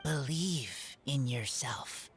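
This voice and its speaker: A woman voicing a quiet, terse and stoic young woman in a video game. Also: very deep voice, animated, very slow, full character voice. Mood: encouraging. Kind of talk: English video game voice acting.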